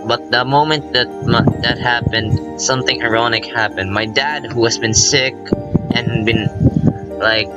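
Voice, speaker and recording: A man giving casual, rambling let's play commentary, excitable and informal, breaking off his own sentences and reading visual novel text aloud. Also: mid-range voice, measured pace, low-quality recording. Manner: informal, self-interrupting, excitable. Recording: music playing behind the voice. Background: visual novel game music.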